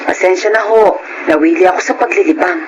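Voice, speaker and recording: A woman's voice: on the low side, brisk, muffled recording, noisy background, lightly acted. An actress playing a carefree middle-aged mother in a Filipino radio drama, breezy and full of excuses. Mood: defensive.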